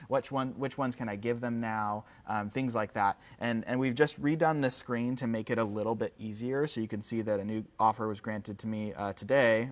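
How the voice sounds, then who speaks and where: A man giving a casual live software demo, with an enthusiastic, informal, conversational manner. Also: medium pitch. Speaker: company executive presenting a livestream.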